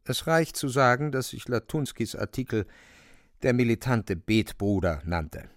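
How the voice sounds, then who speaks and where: An actor playing an indignant man reporting hostile attacks in a radio drama, gravelly and emphatic in his declaiming. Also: medium pitch, expressive, a little slow, no room sound, studio quality, natural voice. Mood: biting, indignant. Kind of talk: dramatic radio play narration.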